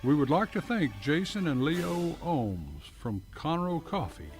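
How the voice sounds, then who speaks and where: Male announcer for radio play credits, unhurried delivery, animated intonation, light music underneath. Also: a little high.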